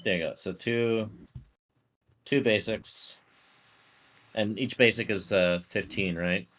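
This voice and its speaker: Low-pitched man, casual game player. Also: fairly even intonation, takes it slowly, a bit bright. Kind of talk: casual game talk, working out math aloud.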